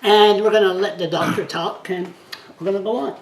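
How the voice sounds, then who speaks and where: A man's voice: high voice, steady tone, brisk, echoey room, rough recording. A man with a French Canadian accent whose first language is French, hosting an interview in a chatty, informal way. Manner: chatty, informal, plain phrasing. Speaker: blogger host.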